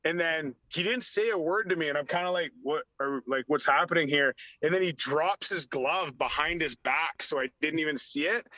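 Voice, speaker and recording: A man, a podcast guest, telling animated stories with a slightly raspy voice, acting out what people said and filling his talk with "like". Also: on the high side, normal speed, few pauses, no room sound. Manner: acts out dialogue, heavy use of "like". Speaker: podcast guest, former hockey enforcer. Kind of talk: animated storytelling. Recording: dull lo-fi sound.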